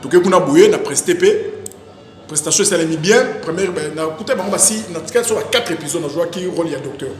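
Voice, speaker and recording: A man's voice: on the high side, quick pace, darkish tone. Echoey Congolese man recounting in a rambling way. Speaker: stage actor being interviewed. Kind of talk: casual interview, recounting career. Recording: very echoey reverberant room, music behind. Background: music.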